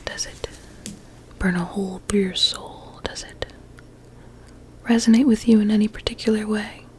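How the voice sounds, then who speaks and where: A woman playing a vain, sinister blueberry doctor in an ASMR medical roleplay, speaking in a soft breathy whisper with soothing menace. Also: mid-range voice, fairly even intonation, moderate pace, a little hiss or hum, natural voice. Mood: probing, clinical.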